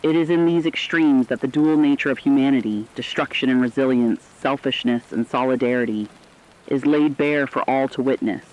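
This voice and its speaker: Slightly muffled audio carries a mid-pitched man acting as a radio co-anchor. He is somber and earnest, giving formal scripted catastrophe reports and stressing each item in his lists, in radio drama style. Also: moderate pace, a little mellow, close to an everyday voice. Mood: somber, concerned. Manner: earnest, formal, emphatic listing.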